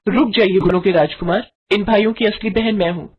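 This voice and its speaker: A woman's voice: mid-range voice, steady tone, fairly quick, muffled recording, slight background noise, lightly acted. Woman voicing a firm, clever young princess. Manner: firm and clear. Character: brave young princess Anjana, clever and composed.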